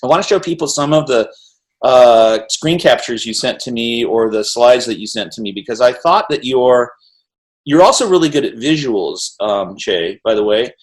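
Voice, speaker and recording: A middle-aged man hosting an interview over a video call, talking in an energetic, rambling way and often tagging on "right?". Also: mid-range voice, moderate pace. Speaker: interview host. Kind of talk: animated casual conversation.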